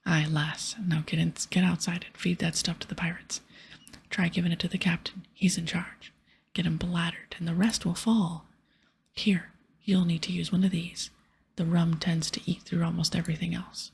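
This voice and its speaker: A folksy, bossy pirate brewer read by a woman in a very breathy whisper. The voice is a little low and creaky, giving out video game instructions in ASMR style. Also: little pitch movement, moderate pace, exaggerated voice. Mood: bossy, proud. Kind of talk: reading game dialogue aloud in ASMR.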